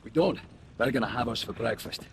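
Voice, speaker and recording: An actor playing a commanding officer giving a forceful briefing in a video game. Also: male voice, medium pitch, brisk, creaky, background noise, close to an everyday voice.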